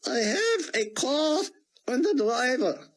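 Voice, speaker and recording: A halting scholar with a thick Hebrew accent. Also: male voice, very high-pitched, big pitch swings, pitched above the performer's own voice, full character voice. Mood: formal. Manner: halting, formal phrasing. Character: elderly male rabbi scholar, formal, hesitant.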